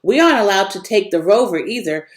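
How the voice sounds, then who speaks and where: A woman's voice: medium pitch, lively intonation, fast, lightly acted. A woman reading the part of a bossy, daring young girl in a children's storybook, insistent and pushy. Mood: defiant. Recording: echoey room.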